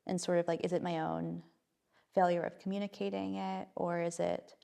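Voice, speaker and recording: A woman with a soft, gently creaky voice talks through her thoughts slowly, circling back with fillers and restarts. She is a playwright guest on a podcast. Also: on the low side, flat delivery. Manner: hesitant and reflective, many fillers and restarts. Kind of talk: casual podcast conversation.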